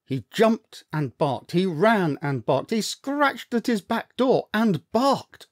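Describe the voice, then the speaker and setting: A man narrates a story for children in a close, dry recording. His delivery is unhurried and very animated, with deliberate, dramatic pauses and emphatic phrasing. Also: high voice, no echo.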